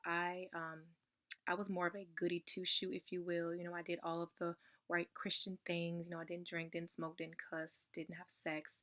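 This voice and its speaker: A young woman getting over a cold, her voice slightly raspy, sharing her personal testimony in a soft-spoken way with frequent ums. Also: lowish voice. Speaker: ministry member sharing testimony.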